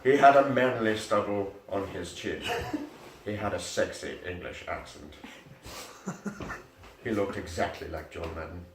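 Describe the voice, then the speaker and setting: Echoey, slow-reading man with a lowish voice. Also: a crowd in the background. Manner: mock-serious, breaking into giggles. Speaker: reader. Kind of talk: dramatic reading of amateur fan fiction. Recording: echoey room, mic at a distance.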